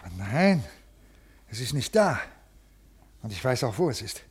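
An actor playing a stiff, agitated civil servant in a 1950s radio play, speaking formally and haltingly in a creaky voice. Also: male voice, medium pitch, big pitch swings. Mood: agitated, pleading. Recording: 1950s radio studio recording.

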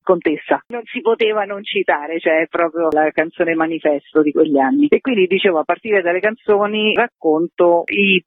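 A woman's voice: fairly low pitch, normal speed, hardly any pauses, dry recording. A woman author and actress chatting as a radio interview guest, emphatic and digressive in her explanations. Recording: tinny, narrow telephone line.

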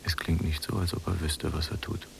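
A gravelly, haunted, monotone prisoner. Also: male voice, a bass voice, a little fast, in a whisper, full character voice. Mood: haunted, brooding. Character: haunted, bitter prisoner brooding on guard's steps. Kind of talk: literary radio play acting.

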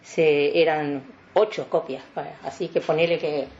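An older woman with a Uruguayan accent, a veteran announcer reminiscing in a radio interview in a halting, rambling way. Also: sits low, fairly even intonation, muffled recording, noisy background.

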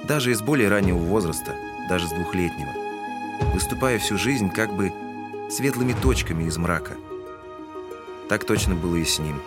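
A man narrates classic literature for an audio drama. His voice is on the low side, solemn and measured yet expressive. Prominent music runs beneath it.

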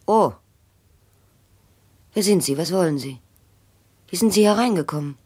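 An actress playing a cheeky, defiant mistress, flippant and blunt, in a German radio drama. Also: female voice, lowish voice, expressive, a little slow, a little mellow, husky. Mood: blunt, irritated. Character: cheeky, defiant, tipsy mistress of an older man.

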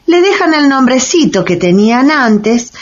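A woman's voice: high-pitched, big pitch swings, measured pace, lo-fi sound, full character voice. An actress in a Latin American radio play voicing an indignant, knowing woman with an emphatic, exclamatory manner. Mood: indignant, mocking.

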